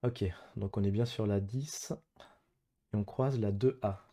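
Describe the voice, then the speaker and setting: Man, lowish pitch, dark mellow tone, casual gameplay commentary, hesitant muttering to himself. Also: fairly even intonation, unhurried. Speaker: gamer playing an online geography guessing match. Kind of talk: casual live gameplay commentary, thinking aloud.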